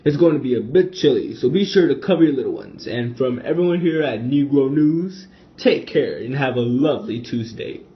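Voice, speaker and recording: A man's voice: medium pitch, animated, moderate pace, hardly any pauses, close to an everyday voice. A man playing a formal, earnest 1920s radio speaker in a scripted broadcast, on a dull lo-fi recording. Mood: announcing. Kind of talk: scripted amateur radio broadcast role-play.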